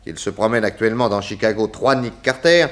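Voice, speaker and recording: Male detective chief, low register, animated delivery, methodical, wry, courteous, 1960s French radio serial. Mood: brisk, commanding. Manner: probing, hesitant questions alternating with brisk orders.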